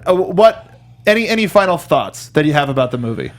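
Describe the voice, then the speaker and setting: A man's voice: a little high, sing-song, brisk. A man hosting a casual film review discussion, talking like an enthusiastic fan. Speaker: talk show host.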